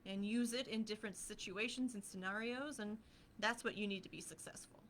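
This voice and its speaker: Woman co-host, bright tone, easygoing and explanatory. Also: medium pitch, brisk, slight background noise. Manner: agreeable, explaining with examples. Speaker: casting team co-host. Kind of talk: casual chat.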